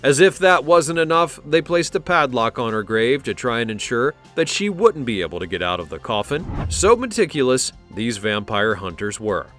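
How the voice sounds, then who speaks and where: A man's voice: medium pitch, sing-song, normal speed, few pauses, a bit bright, soft background music. A man reading a scripted countdown narration in a dramatic storytelling way, with wry conversational asides.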